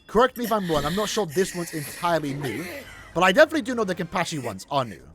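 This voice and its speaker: A man's voice: high voice, sing-song, fast, fairly bright tone. A man hosting a gaming video, commentating casually on gameplay with music behind his voice.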